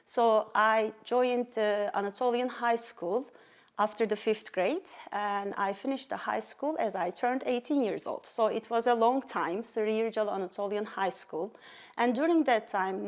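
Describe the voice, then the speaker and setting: A woman's voice: medium pitch, measured pace. A middle-aged woman from Turkey with a Turkish accent, earnestly telling her life story as an interview guest. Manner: earnest, with fillers and restarts. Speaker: pediatric endocrinologist guest.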